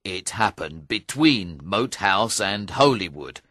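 Greedy middle-aged knight, sly and booming, bright and animated; male narrator puts him on. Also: takes it slowly, no echo, studio quality, full character voice. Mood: scheming. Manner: sly, booming, laughs at others. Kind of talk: audiobook character voice.

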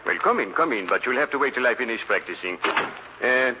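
An actor playing a fussy, self-important French ballad singer in an old-time radio drama. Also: male voice, medium pitch, lively intonation, fairly quick, natural voice. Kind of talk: 1940s radio drama acting. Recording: muffled, noisy old radio recording.

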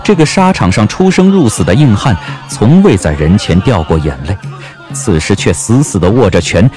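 Low-voiced man narrating with sweeping inflection. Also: big pitch swings, warm tone. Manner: literary, measured dramatic phrasing. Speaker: audiobook narrator. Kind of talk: scripted novel narration, dramatic storytelling. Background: background music.

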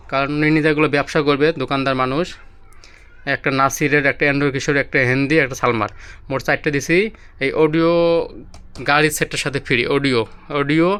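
A man's voice: a little high, pushed and bright, clean tone. A man with a Bangladeshi accent giving a casual, repetitive product demonstration as a seller showing electronics to viewers.

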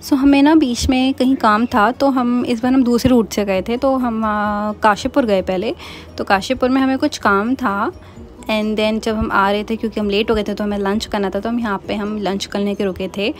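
A woman's voice: fairly high pitch, fairly even intonation, moderate pace, soft background music. A young woman from Uttarakhand, India, chatting casually as she narrates her family vlog. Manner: chatty, mixes Hindi and English. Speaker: vlogger.

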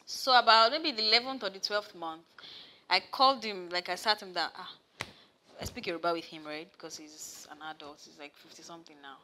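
A young Nigerian woman with a Nigerian accent, a massage therapist chatting as an interview guest and telling stories with animated bursts, recorded in a studio. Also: fairly quick, background noise. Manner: animated storyteller, rises loud and high when excited. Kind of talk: casual interview chat. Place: studio set with sofa, indoors.

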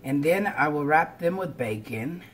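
A woman explains each step informally, as in a casual cooking vlog. She is recorded at home in a kitchen, with clear background noise of frying and clattering dishes. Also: deep voice, animated, normal speed. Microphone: camera on counter or at arm's length.

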